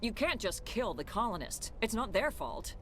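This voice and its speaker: A woman's voice: medium pitch, sing-song, normal speed, a little hiss or hum, natural voice. A woman playing a resolute middle-aged colony leader and mother in a video game. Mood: firm, dry.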